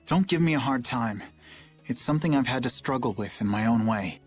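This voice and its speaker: A man's voice: mid-range voice, expressive, soft background music, natural voice. A man playing an earnest, formal young knight. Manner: polite, measured, serious. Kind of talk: video game voice acting.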